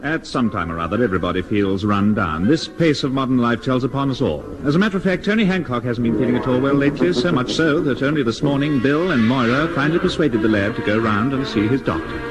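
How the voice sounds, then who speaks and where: A man's voice: mid-range voice, animated, fast talker, few pauses. A man reading scripted narration as a 1950s radio announcer. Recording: vintage radio broadcast under music. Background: loud music.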